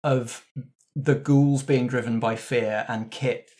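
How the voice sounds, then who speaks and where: A man's voice: mid-range voice, fairly even intonation, slow, a little mellow. A man talking as a game master in a casual backstage discussion, explaining things thoughtfully. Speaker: game master of a tabletop roleplay show.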